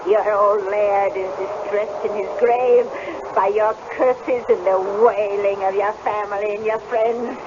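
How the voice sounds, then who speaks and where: A woman's voice: medium pitch, fairly even intonation, unhurried, close to an everyday voice. An actress in a 1970s radio drama playing a mocking, tempting old witch who talks with theatrical, insinuating relish and cackles. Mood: tempting, sly. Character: mocking old hag witch, shape-shifting spirit, taunting and tempting. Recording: dull, noisy old radio broadcast.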